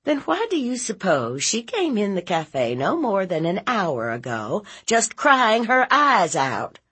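A woman's voice: medium pitch, huge pitch swings, measured pace, fairly bright tone, slightly rough, voice pushed up, exaggerated voice. A woman voicing a fierce, blunt, protective aunt in a story, scolding in an emphatic, folksy, interrogating way. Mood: stern questioning.

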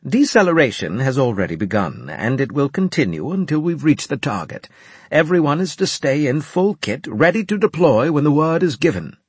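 Guy doing a formal briefing officer, raised pitch that swings around, emphatic, sci-fi audiobook. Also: big pitch swings, normal speed, husky, no room sound. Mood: firm. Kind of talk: audiobook character dialogue.

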